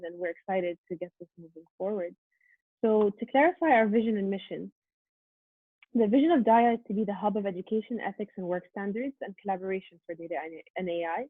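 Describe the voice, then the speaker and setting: A woman giving a prepared, earnest presentation over a video call, steady with frequent um fillers. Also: mid-range voice, husky, no echo. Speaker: conference presenter. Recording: tinny phone-like line.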